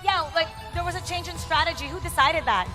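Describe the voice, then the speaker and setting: A woman's voice: very high voice, quick pace, music in the background. A woman interviewing esports players after a match, bubbly and playful.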